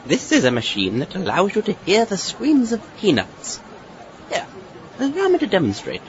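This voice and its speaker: A man's voice: high voice, huge pitch swings, moderate pace, muffled recording, noisy background. A man playing a fussy, hysterical mad inventor with a melodramatic manner in a comic audio drama. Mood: proud.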